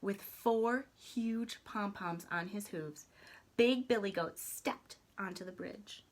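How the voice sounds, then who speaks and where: Lady doing storytime read aloud, slow and deliberate, dramatic, normal pitch. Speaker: storybook reader.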